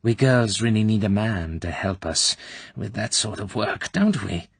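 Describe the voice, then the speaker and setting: A man voicing a cold, domineering older female secret police colonel who talks in a smooth, commanding, falsely sweet way. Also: lowish voice, unhurried, no room sound, heavily acted.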